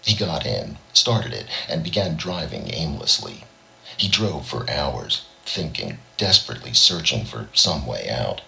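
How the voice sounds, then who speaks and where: Very deep, gravelly male story narrator. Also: sing-song, unhurried, pushed and bright, rough recording. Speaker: audiobook reader.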